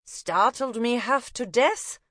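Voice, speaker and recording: A woman's voice: a little high, slow, a bit bright, no room sound, clean studio sound, pitched above the performer's own voice. A woman voicing a young woman thief disguised as a rag picker, feigning a German accent and playing innocent. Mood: sly innocence. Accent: put-on German.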